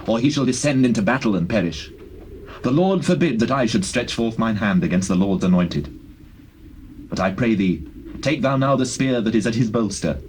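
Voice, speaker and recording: A man's voice: lowish voice, a little mellow, lightly acted, low-quality recording. Guy acting righteous young warrior in a bible audio drama, solemn speechy delivery, bit of tape hiss. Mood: grave, firm. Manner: solemn, declamatory, measured. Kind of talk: classical dramatized scripture acting. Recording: hissy old cassette tape.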